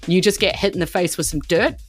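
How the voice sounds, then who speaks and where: A woman with a New Zealand accent narrating a tabletop game as game master in a loose, informal, halting way. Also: on the low side, faint music behind.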